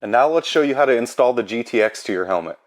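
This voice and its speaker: A man's voice: medium pitch, animated, fast talker. A man presenting an instructional product tutorial in a plain, procedural way.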